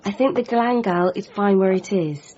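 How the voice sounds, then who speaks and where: An actress playing a caring, practical girlfriend in a radio drama, gentle and reassuring in manner. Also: mid-range voice, moderate pace, dull audio, background noise, close to an everyday voice. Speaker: supporting character.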